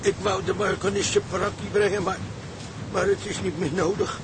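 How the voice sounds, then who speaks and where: An actor playing a deferential, shaken ship's cook in a Dutch drama, speaking haltingly. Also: male voice, steady tone, lightly acted. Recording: clear engine rumble behind dialogue.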